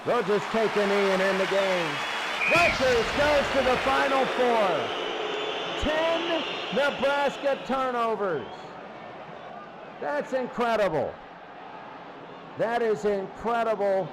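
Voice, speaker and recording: A man's voice: very high-pitched, animated, takes it slowly, a loud crowd. A man doing play-by-play football commentary in a radio style, repeating phrases for emphasis. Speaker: play-by-play sports announcer. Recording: clearly noisy sound.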